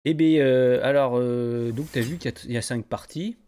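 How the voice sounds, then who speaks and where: A man's voice: mid-range voice, normal speed, warm tone, clear, resonant tone. A man hosting a podcast in a casual, colloquial way. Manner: informal, colloquial. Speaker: podcast host.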